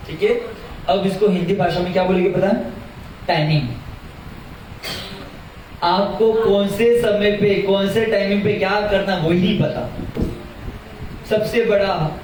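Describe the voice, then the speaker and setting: A young man with a high voice gives a lively religious talk to an audience. He asks questions and repeats his key phrases for emphasis. He sounds far from the mic, and his voice rings through a very echoey hall. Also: unhurried, pauses often, a little hiss or hum. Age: young adult. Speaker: religious teacher. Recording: very echoey hall, heard from afar.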